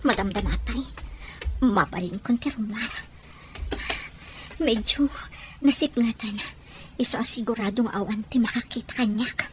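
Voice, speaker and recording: An actress playing a frightened but determined young captive in a radio drama, muttering breathlessly to herself. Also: female voice, on the high side, a little slow, long pauses, noisy background, lightly acted. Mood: tense, secretive. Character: young woman captive escaping through a window, frightened and determined. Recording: radio drama with prominent music underneath. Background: dramatic music.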